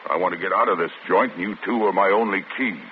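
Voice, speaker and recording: A man playing a very deep-voiced menacing convict. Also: quick pace, close to an everyday voice. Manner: tough gravelly street talk, clipped threats. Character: hardened male convict, desperate and menacing. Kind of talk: 1940s radio acting. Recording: tinny old radio broadcast with hiss.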